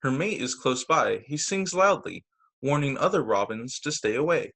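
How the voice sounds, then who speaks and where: A man teaching young children through a read-aloud storytime on a video call, with expressive, deliberate phrasing. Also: medium pitch, gravelly. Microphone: video call microphone.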